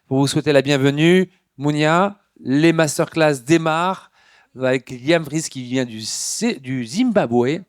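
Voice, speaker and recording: A man moderating the event introduces the speakers briskly, then switches into halting English. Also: a little high, clean tone, no room sound, studio quality.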